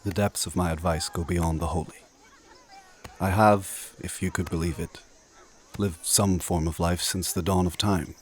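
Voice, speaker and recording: A man playing an ancient immortal spirit mentor in a dark fantasy audio drama, speaking in measured, formal, old-fashioned phrases with solemn, knowing authority. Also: low-pitched, steady tone.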